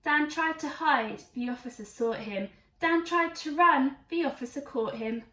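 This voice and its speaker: A woman with a high voice reads a rhyming storybook to children. Her delivery is expressive and emphatic. The recording is roomy and slightly distant. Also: a little slow. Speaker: teacher reading to children. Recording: echoey room, away from mic.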